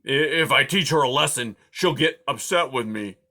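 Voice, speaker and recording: A man voicing a grumpy, complaining male porcupine who snarls and shouts in loud outbursts. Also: mid-range voice, moderate pace. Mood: grumbling.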